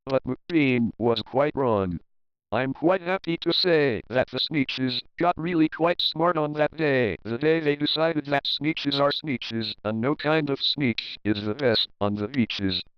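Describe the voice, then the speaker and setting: A man reading a rhyming picture book aloud in an expressive, theatrical storytelling manner. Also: mid-range voice, takes it slowly, clear, resonant tone, no room sound, muffled recording. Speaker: children's story reader, host. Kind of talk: rhymed picture-book narration.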